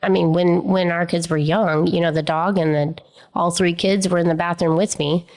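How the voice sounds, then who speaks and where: A woman's voice: on the low side, fairly even intonation, moderate pace, a little mellow. A woman in her fifties who co-hosts a podcast, affirming what the guest says and asking her questions in casual conversation. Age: 50s. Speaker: podcast co-host.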